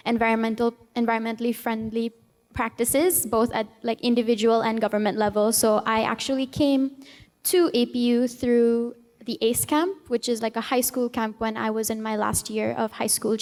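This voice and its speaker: A woman's voice: fairly high pitch, a little mellow, in a large hall. A young woman from Trinidad and Tobago, a student moderating a panel and asking upbeat questions. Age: young adult. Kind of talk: panel discussion.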